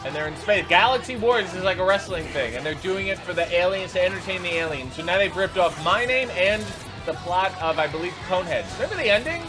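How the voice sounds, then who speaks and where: A man's voice: high voice, animated, a little fast, music in the background. A man hosting a reaction video, giving unscripted running commentary in an exasperated, sarcastic, incredulous way.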